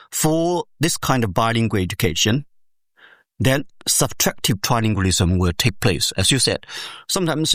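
Animated man explaining his research. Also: mid-range voice, no echo, studio quality. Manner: measured, explains step by step with frequent "uh" pauses; speaks English as a second language, with small grammar slips. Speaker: education researcher, interview guest. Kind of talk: explanatory academic interview answer.

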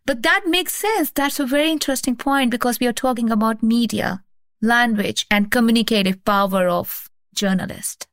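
A woman's voice: a little high, normal speed, a bit bright, dry recording. Earnest woman from Pakistan, dry sound. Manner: earnest, explanatory, repeats phrases. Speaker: researcher, guest. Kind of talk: academic conversation.

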